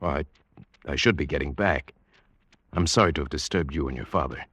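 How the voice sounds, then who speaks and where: An actor playing an uneasy, brooding yachtsman who tells his seafaring story in a measured, literary old-time radio drama style. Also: extremely low pitch, fairly bright tone, slightly rough, no room sound, heavily acted. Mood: polite, hesitant. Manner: measured, literary, atmospheric delivery. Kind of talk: 1940s-50s radio drama acting, scripted narration.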